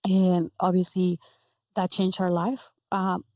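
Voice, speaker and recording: A woman's voice: lowish voice, monotone, slow pace, dry recording. A young woman from Guatemala, a guest in an interview, telling her story fluently. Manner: warm laughs, fluent storytelling. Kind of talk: interview answers. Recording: dull, dry sound.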